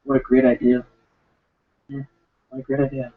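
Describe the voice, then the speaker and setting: A man ranting casually and sarcastically about wrestling, rambling and slipping into quick impressions. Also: mid-range voice, completely flat delivery. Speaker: wrestling commentator. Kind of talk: casual rant. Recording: tinny phone-like lo-fi sound.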